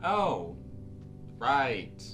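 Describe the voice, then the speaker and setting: A man's voice: medium pitch, big pitch swings, drawn-out words, fairly bright tone, faint music behind, heavily acted. A man playing a flustered, harried young student writer in a comedy web series. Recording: echoey room.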